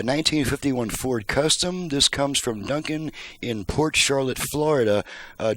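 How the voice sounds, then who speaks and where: A man casually demonstrating his repair work as the host of a video, chatty and folksy, with hesitant pauses. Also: mid-range voice, fairly bright tone, no room sound. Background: car radio playing music.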